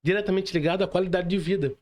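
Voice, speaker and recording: A man's voice: high-pitched, fairly even intonation, quick pace, a little mellow. A man with a Brazilian accent chatting casually as a podcast host. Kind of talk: casual conversational talk.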